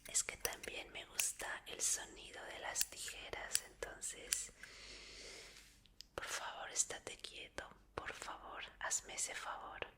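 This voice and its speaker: An obsessive female fan murmurs to her captive idol, as a woman whispers the part, pleading and apologetic in a slow, unhurried hush. The whisper has a bright, crisp edge. It comes across as a sweetly menacing roleplay.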